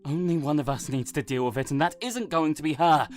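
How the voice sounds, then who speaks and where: A man's voice: a little high, brisk, a little mellow, faint music behind, lightly acted. A man playing a blunt, irritable and protective uncle in an audio drama. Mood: angry, frustrated. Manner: blunt, exasperated. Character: irritable, protective uncle, gruff and worried, swears freely.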